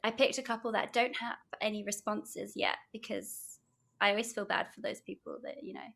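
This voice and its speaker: A young British woman talks in a bright, clear voice as a podcast guest. She rambles cheerfully and giggles between thoughts. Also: medium pitch, fairly even intonation, moderate pace. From United Kingdom. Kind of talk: casual podcast chat, reading aloud.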